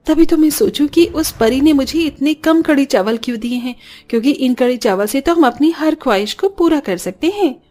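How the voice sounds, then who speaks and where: A woman's voice: sits high, expressive, moderate pace, hardly any pauses, dark tone. A woman voicing a poor, devoted young mother in a cartoon story, earnest and melodramatic. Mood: pleased.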